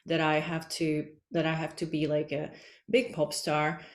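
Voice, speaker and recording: Low monotone woman from czech republic, rambles with lots of likes, roomy echo. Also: normal speed. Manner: rambling, many "like" fillers. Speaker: podcast guest, energy healer. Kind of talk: casual interview chat.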